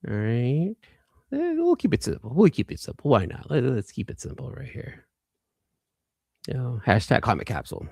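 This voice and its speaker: A man's voice: mid-range voice, huge pitch swings, moderate pace, vocal fry, a little mellow, no room sound, clean studio sound. A man hosting a casual livestream, chatting informally and enthusiastically with viewers and often repeating phrases for emphasis. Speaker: livestream host.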